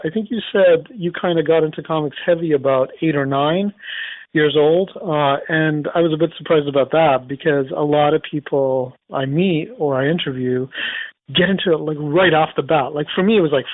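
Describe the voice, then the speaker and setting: A man's voice: fairly high pitch, no room sound. A man in his forties from Sri Lanka, interviewing a guest in loose, rambling casual chat and apologizing now and then. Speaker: podcast host. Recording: dry, tinny phone-like line.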